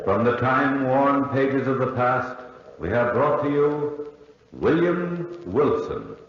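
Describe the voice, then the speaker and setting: A man's voice: mid-range voice, very animated, slow pace. A man announcing a 1940s radio horror show in a theatrical, solemn intoning style. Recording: very echoey, noisy, dull 1940s radio recording.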